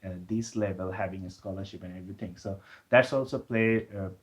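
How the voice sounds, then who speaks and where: A young man from Bangladesh, a guest in a casual interview, speaking in a relaxed, hesitant way with many fillers. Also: sits low, fairly quick.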